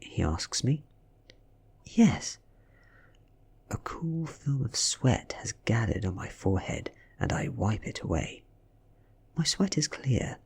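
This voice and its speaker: A man with a British accent reading a story aloud in a soft, hushed ASMR style, breathy and often dropping into a whisper. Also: on the low side. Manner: hushed, unhurried, dramatic storytelling. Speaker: audiobook-style reader.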